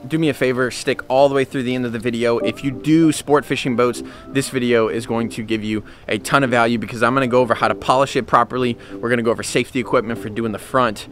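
A man's voice: mid-range voice, fairly bright tone. A young man running a boat detailing business, talking casually and upbeat to a handheld camera outdoors at a marina. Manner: upbeat, chatty, lots of "guys" and fillers. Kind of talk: casual how-to vlog. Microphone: handheld camera at arm's length.

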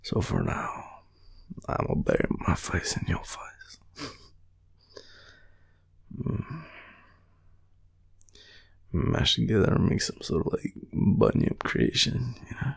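A tired young Australian man murmuring an affectionate, rambling boyfriend roleplay in a deep, gravelly, breathy voice. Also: huge pitch swings, takes it slowly, long pauses. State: tired, sleepy. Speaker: boyfriend roleplay audio performer. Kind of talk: intimate sleepy roleplay, ASMR-like rambling.

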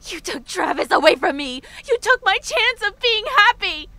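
A woman's voice: extremely high pitch, big pitch swings, normal speed, bright, forceful tone, dry recording, exaggerated voice. A woman playing a gloating, bitter villainess and crime boss in an audio drama, with a mocking, theatrical delivery. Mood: enraged.

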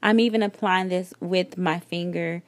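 A woman's voice: on the low side, moderate pace, dry recording. Woman in her thirties, dry chatty voiceover. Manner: relaxed, chatty step-by-step explaining. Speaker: beauty vlogger. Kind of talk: makeup tutorial voiceover. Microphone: voiceover recorded separately.

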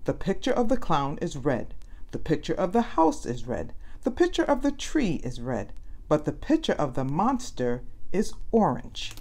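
A woman voicing a serious, self-important boy detective in a storybook, matter-of-fact and deliberate. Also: deep voice, huge pitch swings, a little mellow, full character voice. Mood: confident. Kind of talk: storybook character voice.

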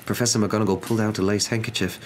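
A man's voice: fairly low pitch, fairly even intonation, very fast, slight background noise. A young man narrating a storybook in an ASMR reading. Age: young adult. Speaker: storybook reader.

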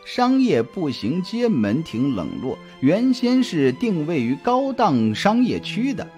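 Expressive suspense audiobook narrator with music. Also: mid-range voice, unhurried. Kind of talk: scripted suspense story narration. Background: background music.

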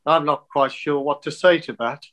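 An older man playing an exasperated county football official in a filmed comedy, with a measured, dry manner. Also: on the high side, steady tone, fairly bright tone, close to an everyday voice. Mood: dry, firm. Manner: measured, dry, hesitant in reprimand. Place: room at home, on a video call.

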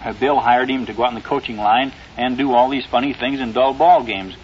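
Male radio guest, early 1950s sports writer; quick, halting, folksy yarns; dull old recording with some noise. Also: mid-range voice.

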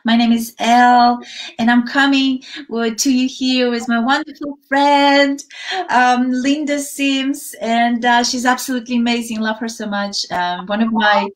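A woman's voice: on the high side, fairly even intonation, a little slow. A middle-aged woman hosting a chat show from home, gushing and bubbly, with many fillers. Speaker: host. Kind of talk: casual chat. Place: home room with bookshelves. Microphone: video call.

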